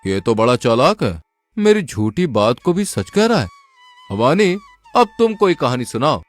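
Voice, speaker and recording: A man's voice: medium pitch, big pitch swings, measured pace, soft background music. A man voicing a boastful, scheming village swindler in a Hindi folk tale, in a theatrical manner.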